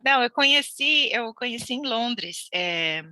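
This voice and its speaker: A woman's voice: on the high side, animated, measured pace, sharp tone, no room sound. A woman from Brazil, a podcast guest telling her immigration story in a chatty, laughing way with many restarts. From Brazil (Santos). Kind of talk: casual interview chat.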